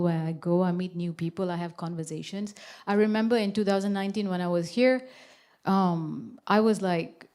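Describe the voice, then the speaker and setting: A woman from Malaysia, an actress speaking at a conference, telling personal stories in a chatty way with many pauses and ums. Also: medium pitch, mellow. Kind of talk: casual personal talk on stage. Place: conference hall.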